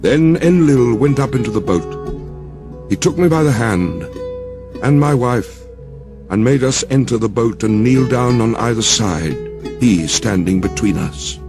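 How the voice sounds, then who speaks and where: A male narrator reads a dramatized epic in a solemn, theatrical manner. His pace is measured and his pitch swings widely, with music clearly heard behind him. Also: lively intonation. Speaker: audiobook narrator.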